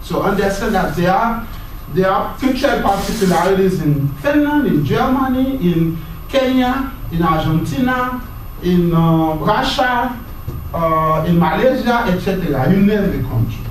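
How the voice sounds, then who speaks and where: Male lecturer, high voice, halting and repetitive delivery, far from the mic in a very echoey room, faint room noise. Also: lively intonation. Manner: halting, repeats words while searching. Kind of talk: university lecture.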